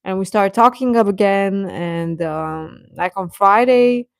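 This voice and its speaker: A woman hosts a casual livestream in a close, dry-sounding recording. She speaks at a slow, unhurried pace with a mid-range voice, chatting to her viewers and often repeating her phrases. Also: medium pitch, vocal fry, dry recording. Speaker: livestream host.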